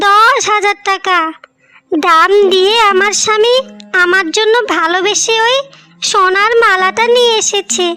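Haughty, boastful young wife, piercingly high woman acting. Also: sharp tone, no room sound, exaggerated voice. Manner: taunting, showy. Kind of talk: Bengali moral-story cartoon dubbing.

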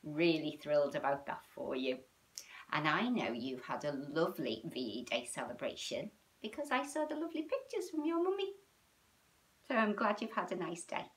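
Lively British woman chatting to children. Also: low-pitched, normal speed. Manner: animated, sing-song, addressing children with frequent tag questions. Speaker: children's storytime and yoga host. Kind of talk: chatty, child-directed livestream talk. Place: small room at home, indoors.

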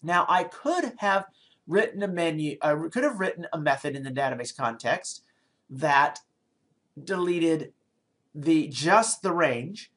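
A man's voice: fairly high pitch, lively intonation, measured pace, a bit bright. Middle-aged man explaining code on screen. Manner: explanatory, thinking aloud with fillers and restarts. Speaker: programming lecturer. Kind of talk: screencast coding tutorial.

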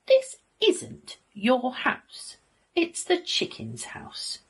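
A woman voicing a big friendly wolf in a children's story, earnest and polite, with breathy sighs. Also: high-pitched, sing-song, measured pace, darkish tone, voice pushed up, exaggerated voice. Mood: firm, concerned. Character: big friendly gentle wolf, kindly builder.

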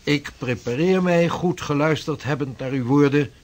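An actor playing an earnest sixteenth-century navigator and mapmaker in a period radio drama, speaking in formal, measured, archaic phrases. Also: male voice, on the high side, darkish tone, lightly acted. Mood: determined.

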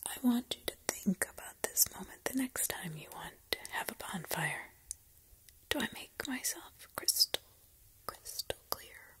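A woman's voice: on the high side, lively intonation, a little slow, fairly bright tone, breathy edge, exaggerated voice. A woman whispering as a taunting, cruel grinning man. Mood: menacing. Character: menacing, grinning young man, cruel and mocking.

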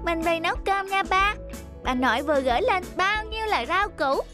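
A performer playing a cheerful young child in an animated family moral tale. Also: very high voice, normal speed, exaggerated voice. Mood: cheerful, chatty. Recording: music behind.

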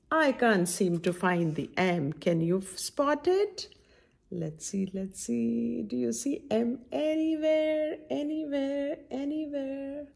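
A middle-aged woman reads a picture book aloud to young children. Her pitch swings widely in a sing-song, coaxing way, and she takes her time. There is some gravelly fry in her voice as she asks questions and comments on the pages. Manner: animated, sing-song, coaxing teacher-like delivery. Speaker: children's story-time host. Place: small indoor room at home.

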